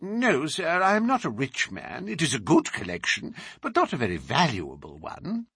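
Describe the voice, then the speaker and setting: A man voicing an excitable elderly male collector, eager and breathless. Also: on the high side, normal speed, breathy edge, no room sound, studio quality, voice pushed up, exaggerated voice. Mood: mild. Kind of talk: audiobook character reading.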